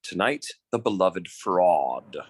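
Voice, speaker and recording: A man's voice: low voice, lively intonation, slow, very noisy. A man playing an earnest, formal 1940s radio announcer, reading in a polished, declamatory style. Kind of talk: 1940s radio announcing, scripted sponsor message.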